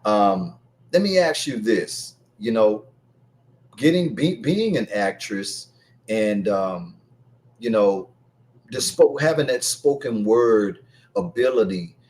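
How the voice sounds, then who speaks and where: A laid-back man hosts a radio interview with a slightly low voice. He talks casually, loose with slang and fillers, and often rambles before he lands on his question. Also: very animated, slow, darkish tone. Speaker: radio show host.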